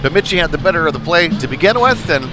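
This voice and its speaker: A man's voice: fairly high pitch, lively intonation, fast. Male hockey play-by-play announcer, lightly raised register, casual conversational delivery, headset at the rink with prominent music in the background. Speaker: play-by-play sports commentator. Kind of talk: live youth ice hockey commentary. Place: chilly ice rink.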